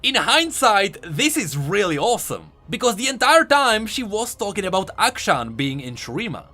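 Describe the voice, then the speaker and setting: A man's voice: high-pitched, very animated, moderate pace, sharp tone. A man doing an enthusiastic, conversational voice-over commentary as a video host. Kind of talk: game lore commentary voice-over.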